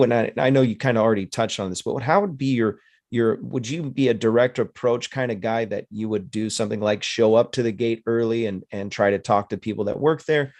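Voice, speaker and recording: Low-voiced man hosting a casual interview. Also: a little mellow. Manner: rambling, halting. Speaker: podcast host, paramotor pilot.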